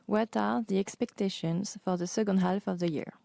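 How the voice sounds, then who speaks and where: Creaky, mellow-voiced female moderator. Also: mid-range voice, steady tone, normal speed, no echo. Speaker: moderator reading out questions. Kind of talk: formal question reading on an earnings call.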